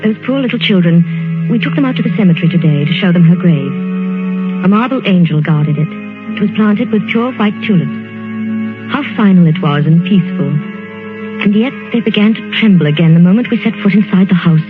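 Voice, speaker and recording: A woman acting a gentle, anxious genteel lady in a soothing, earnest 1940s radio suspense style. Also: lowish voice, lively intonation, brisk, lightly acted. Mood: pitying. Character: gentle anxious genteel lady friend, Miss Amanda, narrating her diary. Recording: tinny band-limited old radio, organ music behind.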